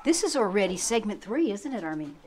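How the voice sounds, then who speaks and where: A woman's voice: medium pitch, very animated, brisk, a little mellow. A woman hosting a casual political talk show, chatty and friendly with her guests. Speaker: show host.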